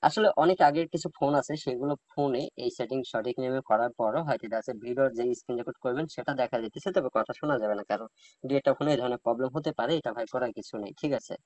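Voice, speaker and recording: Male tutorial host, rapid-fire informal delivery, dry close-miked voice-over. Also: mid-range voice, darkish tone, dry recording, low-quality recording. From Bangladesh. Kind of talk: informal step-by-step phone tutorial.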